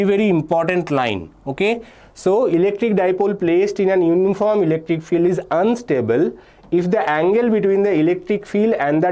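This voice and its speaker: Chatty Indian physics teacher, fairly high voice. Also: male voice, warm tone. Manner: explains step by step, reads student names and answers from chat; frequent "uh" and "okay" fillers, repeats key points. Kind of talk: live online exam-prep class.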